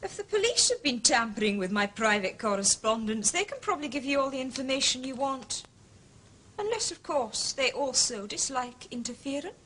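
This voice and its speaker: An actress playing a proud, indignant wronged wife in a radio drama, with a clipped, theatrical upper-class English accent. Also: female voice, sits high, lively intonation, moderate pace, sharp tone, slightly rough, exaggerated voice. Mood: haughty, resentful.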